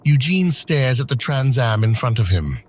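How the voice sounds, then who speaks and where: A man's voice: mid-range voice, sing-song, normal speed, breathy edge. A man narrating scripted stage action as the narrator of an audio drama.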